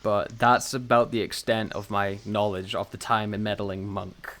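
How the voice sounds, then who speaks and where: A man's voice: on the low side, little pitch movement, normal speed, fairly bright tone. A man co-hosting a podcast in casual chat, with a wry, jokey manner and frequent laughter. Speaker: podcast co-host. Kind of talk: casual chat reviewing audio dramas.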